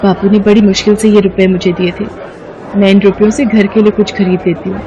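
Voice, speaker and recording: A woman voicing a cheerful, kind, thrifty young village girl in an animated moral story, with music behind. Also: mid-range voice, fairly quick, highs cut off, crowd noise, close to an everyday voice. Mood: thoughtful, kind.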